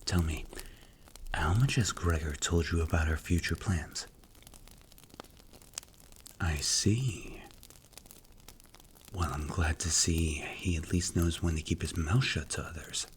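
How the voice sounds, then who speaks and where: A man acts out a strict mafia boss in an ASMR roleplay, with a low, commanding voice. He speaks in clipped, deliberate phrases with cool authority and tight control. Faint noise sits behind the voice. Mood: firm, commanding.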